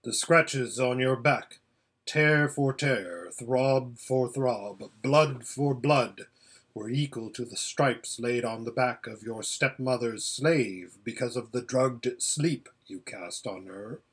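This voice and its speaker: A man performs a great storybook lion, regal and solemn. He speaks very slowly and with command, stern yet tender, in a husky voice. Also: medium pitch, fairly bright tone. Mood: grave, stern. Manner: slow, measured, commanding.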